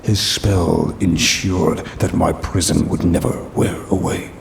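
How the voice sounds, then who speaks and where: A man's voice: steady tone, slow pace, gravelly, full character voice. A man playing a smug, ancient demon trapped in a cursed necklace in an ASMR roleplay, with haughty, teasing, old-fashioned speech. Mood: puzzled, indignant. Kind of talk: ASMR audio roleplay acting. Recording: rumbling rain and thunder noise.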